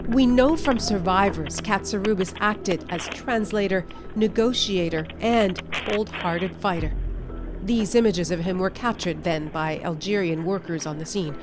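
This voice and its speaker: A woman news correspondent reading a scripted documentary report in a measured, dramatic storytelling way. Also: medium pitch. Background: music bed under the voice-over.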